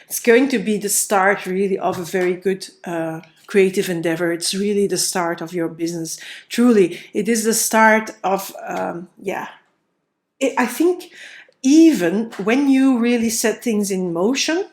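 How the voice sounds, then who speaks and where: Woman with a stuffy cold, slightly nasal. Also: mid-range voice. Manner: thinking aloud, frequent fillers and restarts. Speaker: tarot reader. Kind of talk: solo tarot card reading.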